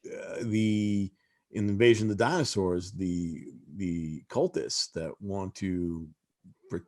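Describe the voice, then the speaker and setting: A man's voice: sits low, steady tone, slow, a little mellow. A man on a panel, talking casually and eagerly over a video call, often trailing into asides.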